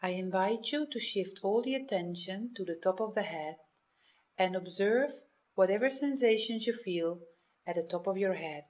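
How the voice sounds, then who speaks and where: Female meditation guide, medium pitch, scripted instruction delivered in measured phrases with pauses. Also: expressive, a little mellow.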